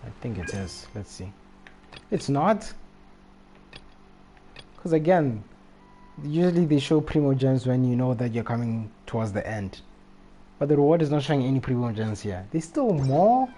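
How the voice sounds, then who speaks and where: A man livestreaming a video game with casual, halting commentary, muttering and rephrasing to himself. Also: medium pitch, animated, dark tone. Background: faint game music.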